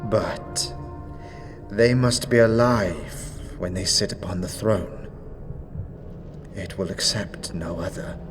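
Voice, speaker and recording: A man's voice: low voice, takes it slowly, long pauses, music in the background. A man voicing a solemn undead male ruler who speaks formally, with archaic thee and thou. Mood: grave.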